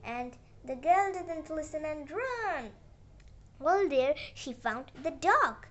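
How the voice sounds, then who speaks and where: A child narrating a moral fairy tale with halting, uneven phrasing and dramatic emphasis on the dialogue. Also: high-pitched, animated, a bit bright. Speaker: storyteller.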